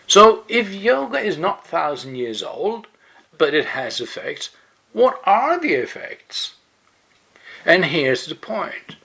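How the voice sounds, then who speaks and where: A man's voice: on the high side, fairly bright tone, slightly rough. A man with a Swedish accent, a native Swedish speaker, explaining things carefully in English with fillers and restarts as the host of his own web series. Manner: deliberate, careful in second language, with fillers and restarts. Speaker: yoga webpage host. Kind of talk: explanatory monologue.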